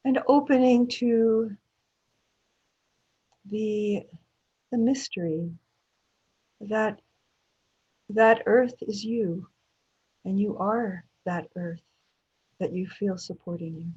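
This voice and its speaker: A woman meditation teacher leading a guided meditation in gentle short phrases with long pauses between them. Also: mid-range voice, slightly rough. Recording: dull, muffled lo-fi audio.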